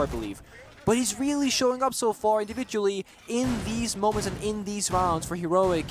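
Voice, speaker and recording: High-voiced man breaking down esports tactics. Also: background noise. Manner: explanatory, breaks down tactics steadily. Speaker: esports analyst caster. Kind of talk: live esports color commentary. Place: broadcast desk at a live event.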